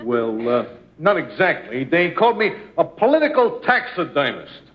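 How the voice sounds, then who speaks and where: An actor playing a shady, slangy small-time fixer with a sly, confiding manner in a 1950s radio comedy. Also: male voice, fairly high pitch, big pitch swings, moderate pace. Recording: muffled old radio recording, faint noise.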